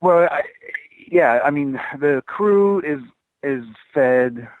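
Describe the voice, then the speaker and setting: A man's voice: fairly high pitch, expressive, slow, no echo, narrow, tinny audio. A man who is a guest musician on a casual interview show, talking in a laid-back, joking way with many hesitations and fillers.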